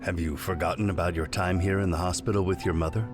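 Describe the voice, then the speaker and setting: A man acts out a middle-aged male scientist in a game cutscene, low in pitch. He is clinical, detached and condescending, explaining things flatly over prominent music. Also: fast talker, lightly acted. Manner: clinical, matter-of-fact, condescending. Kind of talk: scripted video game cutscene acting.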